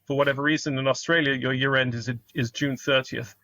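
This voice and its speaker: A man's voice: medium pitch, fairly even intonation, fairly quick, sharp tone, no room sound. A middle-aged British man, an accountant, answering interview questions over a remote call in a polite, explanatory way, often restarting his sentences. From United Kingdom. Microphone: remote call microphone. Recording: dull, dry remote call audio.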